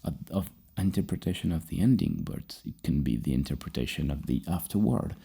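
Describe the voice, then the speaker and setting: A man doing a soft-spoken ASMR roleplay as a psychologist, speaking in a halting, rambling way and often dropping into a whisper. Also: expressive. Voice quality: very deep, gravelly, breathy. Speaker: ASMR roleplayer playing a psychologist giving a personality questionnaire.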